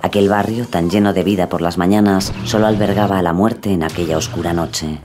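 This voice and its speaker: Narrador masculino de voz muy grave. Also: male voice, low voice, steady tone, a little fast, background noise. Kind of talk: scripted dramatic true-crime narration.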